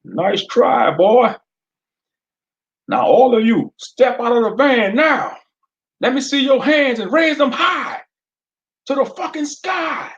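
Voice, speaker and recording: A sneering Southern sheriff. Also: male voice, very high-pitched, animated, slow, long pauses, highs cut off, pitched above the performer's own voice, full character voice. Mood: menacing. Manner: drawling threats, sneering. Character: bullying small-town male sheriff, menacing and smug.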